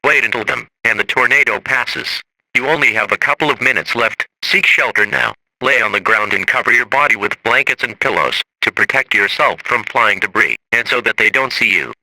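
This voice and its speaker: A man's voice: medium pitch, normal speed, bright, forceful tone, dry recording, low-quality recording. A man reading a scripted emergency weather bulletin in a formal, automated-sounding way. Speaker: emergency alert announcer.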